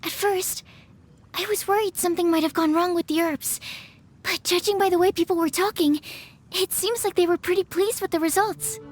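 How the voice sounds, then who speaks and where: Young female alchemist for a game, very high voice, gentle, modest, earnest and polite. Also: moderate pace. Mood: earnest, relieved. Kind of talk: video game cutscene voice acting. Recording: background music under the voice.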